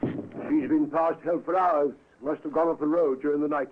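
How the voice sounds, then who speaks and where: A clinical doctor acted by a man in a vintage radio mystery, matter-of-fact and somewhat high in pitch. The sound is narrow and tinny, like a telephone. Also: fairly even intonation, normal speed, lightly acted. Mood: brisk, grave. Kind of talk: old radio drama acting. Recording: thin, phone-like vintage radio recording.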